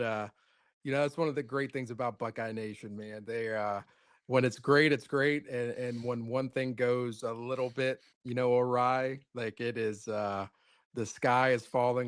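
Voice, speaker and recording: A man's voice: mid-range voice, no room sound. Male co-host, earnest and rambling, casual sports talk, dry close mic. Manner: earnest, rambling, repeats words, says "man" often. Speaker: co-host, coach.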